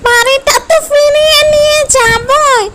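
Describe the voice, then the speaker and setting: A woman voicing a fierce, bossy female ogress in a children's cartoon, with a commanding, drawn-out delivery. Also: very high-pitched, steady tone, a little hiss or hum, heavily acted. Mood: furious, threatening. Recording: loud music behind the voice. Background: music.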